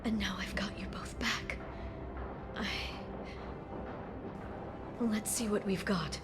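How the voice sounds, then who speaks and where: An actress playing an earnest, soft-spoken, determined young alien woman in a video game, with halting, emotional delivery. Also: medium pitch, natural voice. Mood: grieving, relieved. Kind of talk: video game cutscene acting. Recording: loud music behind the voice.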